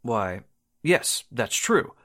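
A man's voice: mid-range voice, very animated, extremely slow, pushed and bright, exaggerated voice. A man playing a reluctant, hedging radio station official in a 1940s-style radio drama. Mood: hesitant. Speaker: actor in an amateur radio drama re-creation.

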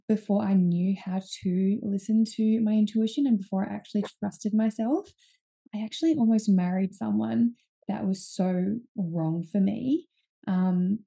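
A woman's voice: medium pitch, vocal fry, warm tone. A young woman hosts her own podcast, talking casually and candidly with frequent ums and restarts. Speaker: podcast host. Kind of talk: casual solo podcast talk.

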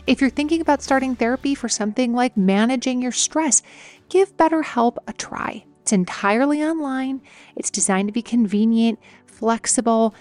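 Lady podcast host doing a chatty scripted ad read, bit high pitched, soft music behind. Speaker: podcast host reading a sponsor message.

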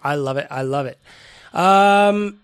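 A man's voice: a little high, takes it slowly, clean tone, faint music behind. A man hosting a podcast in his home studio, chatting casually and a little self-deprecating, with a stuffy, congested voice from a cold. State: congested, sniffly. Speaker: podcast host. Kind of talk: casual solo podcast chat. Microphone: studio microphone.

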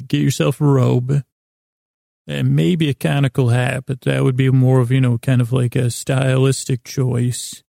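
A man with a heavily creaky, gravelly voice delivers a meandering bedtime monologue. His tone is mellow and his pitch stays fairly flat as he halts, restarts and drifts into silly tangents. The recording is close and dry. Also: no room sound. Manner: meandering, halting, self-interrupting, with stumbles and tangents. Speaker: sleep podcast host. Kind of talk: rambling comic bedtime monologue.

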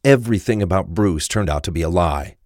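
A man's voice: low voice, expressive, fairly quick, a little mellow, dry recording, clean studio sound. A man reading scripted narration for a crime thriller audio drama.

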